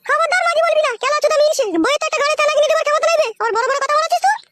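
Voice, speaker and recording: A woman voicing a defiant, hot-tempered young daughter-in-law in a comic cartoon, shrill and threatening. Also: racing, background noise.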